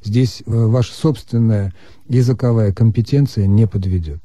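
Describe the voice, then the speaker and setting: A man calmly explaining Russian grammar as the expert on a radio call-in show. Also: lowish voice, no room sound. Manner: measured, lecturing. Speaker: language expert answering listeners.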